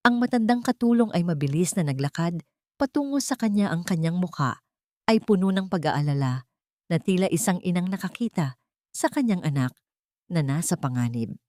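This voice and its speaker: A woman narrates a dramatic audio story. Her voice sits on the low side for a woman, and she reads from a script as a storyteller. The recording is very dry, with no room sound. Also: expressive, normal speed, darkish tone, no echo.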